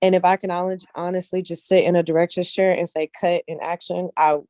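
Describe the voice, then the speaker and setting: A woman's voice: on the low side, flat delivery, fairly quick, mellow, dry recording, clean studio sound. A woman speaking casually as an interview guest, repeating points for emphasis and often checking that the listener follows. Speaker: interview guest, casting director and actress.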